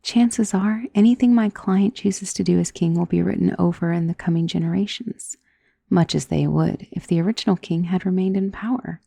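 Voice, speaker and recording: A woman playing a centuries-old, wealthy vampire in an ASMR roleplay, doting and possessive, speaking in a soft, intimate, genteel and commanding manner. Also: on the low side, creaky, husky, no room sound. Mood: calm, assured. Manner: soft, intimate, genteel, unhurried endearments, often dropping into whisper. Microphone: close microphone in a dry space.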